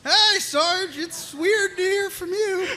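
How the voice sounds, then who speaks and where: An actor playing a mild, naive pacifist army medic, earnest, polite and eager, in a comedy table read. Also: male voice, very high voice, slow pace, bright, forceful tone, heavily acted. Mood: chipper, helpful.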